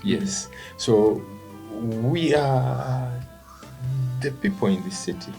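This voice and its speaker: A middle-aged Ugandan man with a Ugandan accent, answering interview questions in a halting way, often restarting words. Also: mid-range voice, creaky, faint music behind. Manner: halting, restarts words, quiet then rising when animated. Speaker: guest, event company founder.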